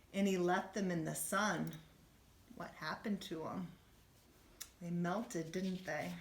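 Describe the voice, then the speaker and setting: Echoey, lower-pitched woman reading to children. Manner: expressive, gently questioning, speaking to young children. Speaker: schoolteacher reading a bedtime story. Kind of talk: children's storybook read-aloud with asides to listeners. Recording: roomy, echoey room sound.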